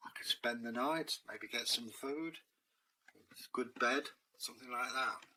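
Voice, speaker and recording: A man's voice: mid-range voice, a little slow, bright, forceful tone, a little hiss or hum, poor audio. A man voicing a streetwise, confident male cat, talking in a laid-back, cheeky way.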